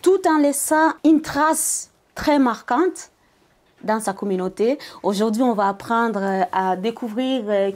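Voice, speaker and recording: A woman hosting a talk show interview, welcoming her guest slowly and with frequent hesitations. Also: on the high side. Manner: hesitant, many filler sounds, slow emphatic intro.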